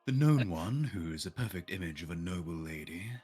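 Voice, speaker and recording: A man's voice: low voice, lively intonation, fairly quick, warm tone, husky, faint music behind. A man voicing a pompous, stately male emperor with a formal delivery while reading a visual novel aloud.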